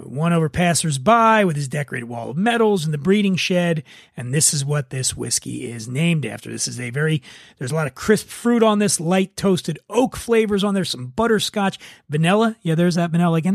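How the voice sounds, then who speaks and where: A man's voice: on the high side, creaky, no echo, clean studio sound. A man hosting a podcast, giving a semi-scripted review in a conversational way with wry, joking asides. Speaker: podcast host reviewing spirits.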